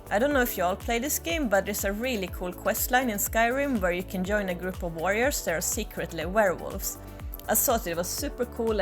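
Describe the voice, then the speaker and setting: Swedish accent girl, upbeat, talking to camera outside on a balcony, a bit of music under it. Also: mid-range voice. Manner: upbeat, enthusiastic, chatty. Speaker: gaming YouTuber. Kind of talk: casual game guide talk. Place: outdoor balcony, tropical resort.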